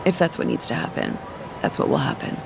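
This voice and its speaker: A woman's voice: low voice, huge pitch swings, fairly quick, gravelly, noisy background, full character voice. A woman voicing a reserved, composed and resolute young woman in a video game dub, terse and understated. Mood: cool, reserved. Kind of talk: English game dub acting. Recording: music playing behind the voice.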